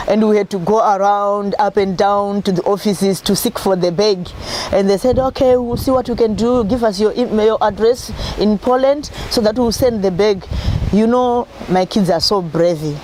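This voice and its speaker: A woman's voice: normal speed, dark tone. A woman who leads a youth group, telling a travel story in a documentary interview. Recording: noisy setting with background rumble.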